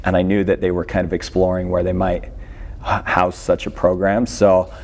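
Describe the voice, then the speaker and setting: A middle-aged man answers interview questions as a guest coach. His voice is fairly low, and he begins his thoughts hesitantly. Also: completely flat delivery, moderate pace, a little mellow.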